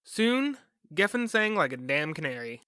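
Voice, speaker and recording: A man's voice: a little high, sing-song, moderate pace, pushed and bright, smooth, dry recording, clean studio sound. A man reading scripted documentary narration as a podcast host.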